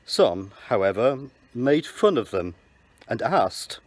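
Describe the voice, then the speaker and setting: A man reading a Bible passage aloud in church in a measured, deliberate way. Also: mid-range voice, sing-song, dry recording. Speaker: Bible reader.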